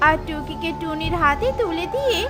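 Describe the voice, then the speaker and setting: A woman's voice: very high voice, animated, moderate pace. A woman narrating a scripted children's-style cartoon tale as storyteller. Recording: music behind the voice.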